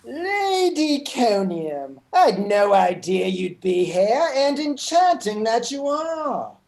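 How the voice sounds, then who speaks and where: A woman's voice: on the high side, very animated, slow pace, gravelly, darkish tone, exaggerated voice. A woman playing a pompous, jovial upper-class English lord with a plummy manner in a comic radio play. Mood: flirtatious, boastful.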